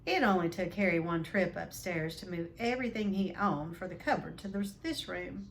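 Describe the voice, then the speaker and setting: A woman reading a children's novel aloud as an expressive storyteller. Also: on the low side, normal speed.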